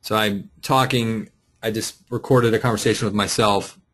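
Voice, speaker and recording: A man presenting a casual, unscripted software tutorial, explaining and thinking aloud as he clicks through. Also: fairly low pitch, fairly even intonation, normal speed. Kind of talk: casual screen-share walkthrough, unscripted. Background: voice-over for a screen recording.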